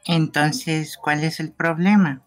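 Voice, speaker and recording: A man's voice: a little high, steady tone, slow pace, soft background music. A man voicing a morbidly courteous, theatrical young gravedigger in a fan game dub. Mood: questioning. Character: theatrical pumpkin-headed boy gravedigger, morbidly courteous, wistful.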